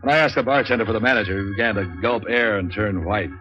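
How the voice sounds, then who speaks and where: An actor playing a cynical, wisecracking American private troubleshooter in a clipped, sardonic 1940s radio noir style. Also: male voice, fairly low pitch, fast talker, lightly acted. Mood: weary narration. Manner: clipped, sardonic, tough-talking. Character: hard-boiled, wisecracking male private troubleshooter, world-weary and cynical. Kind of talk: 1940s radio noir acting and first-person narration. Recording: dull 1940s radio recording with music.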